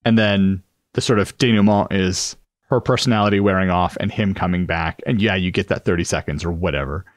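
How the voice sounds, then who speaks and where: A man's voice: deep voice, brisk, no room sound, clean studio sound. A man co-hosting a podcast, talking casually and reflectively as he works through ideas, often tentatively. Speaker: podcast co-host. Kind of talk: casual brainstorming discussion.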